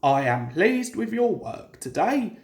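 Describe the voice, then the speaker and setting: A storyteller voicing a pompous male railway chief in a children's story, speaking in a formal, measured way. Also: mid-range voice, sing-song, a little mellow, echoey room. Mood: approving, reserved.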